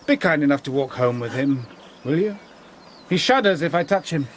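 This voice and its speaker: An actor playing a menacing, domineering master of a moorland house, mocking and quick to shout. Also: male voice, fairly high pitch, animated, a little hiss or hum, close to an everyday voice. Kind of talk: radio drama acting.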